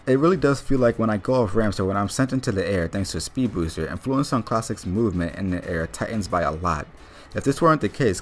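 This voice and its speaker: A man with a notably low voice delivers scripted game review narration at a fast clip. He works through his points methodically, like a channel host explaining each feature in turn. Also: slight background noise.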